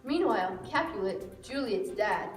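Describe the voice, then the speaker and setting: A woman's voice: mid-range voice, lively intonation, a little slow. A woman reading scripted narration for an amateur puppet show. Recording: echoey room, distant mic, music behind.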